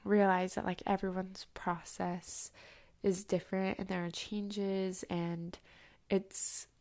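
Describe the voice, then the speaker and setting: A woman's voice: medium pitch, level tone, slow pace, darkish tone. A young woman hosting a podcast, chatting casually with lots of fillers and restarts. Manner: chatty, many fillers and restarts, laughs often. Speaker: podcast host. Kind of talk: casual interview chat.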